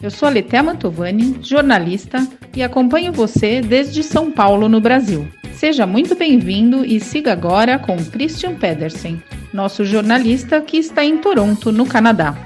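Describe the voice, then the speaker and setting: A woman's voice: on the high side, lively intonation, moderate pace, darkish tone. A woman with a Brazilian accent, a journalist reading a scripted podcast introduction. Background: music.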